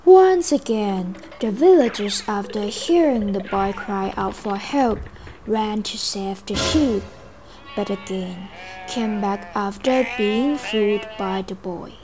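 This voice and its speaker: A woman narrating a fable in a student puppet show, in slow, clear storybook style. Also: mid-range voice, music in the background.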